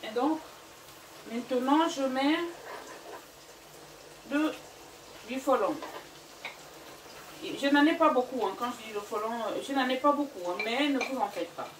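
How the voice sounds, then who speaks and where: Woman cooking at home, chatty, lots of euhs, a bit high, roomy echo and mic far away. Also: a little slow, pauses often, a little hiss or hum. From Gabon and Equatorial Guinea. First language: Fang or another local language of Equatorial Guinea. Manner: chatty, hesitant, many fillers. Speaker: cooking channel host. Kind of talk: casual cooking vlog talk. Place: home kitchen.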